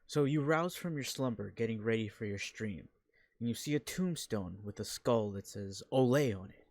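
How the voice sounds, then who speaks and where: A male host of a casual online show talks in a loose, rambling way, full of fillers and restarts. His pitch sits in an ordinary middle range. The sound is dry, with almost no room echo. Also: animated, moderate pace, a little mellow. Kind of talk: casual online interview with a role-played intro.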